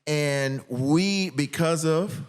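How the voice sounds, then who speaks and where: A man's voice: on the high side, very slow, clear, resonant tone, soft background music. A middle-aged American man, a podcast guest, telling personal stories casually in a candid, emphatic way.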